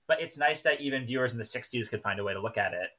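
A man's voice: medium pitch, very fast, sharp tone. A man in a casual, analytical discussion on a TV review podcast. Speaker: podcast co-host.

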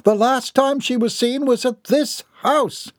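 A man's voice: high voice, very animated, takes it slowly, darkish tone, breathy edge, no echo, clean studio sound, voice pushed up, heavily acted. A man voicing a desperate, pleading wealthy mother in an audiobook, with a wailing manner. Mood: distraught.

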